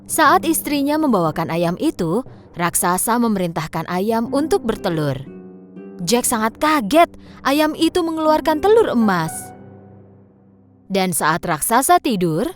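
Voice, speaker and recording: High, lively Indonesian woman storyteller. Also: a little slow, long pauses, faint music behind. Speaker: storyteller, narrator. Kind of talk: scripted children's story narration.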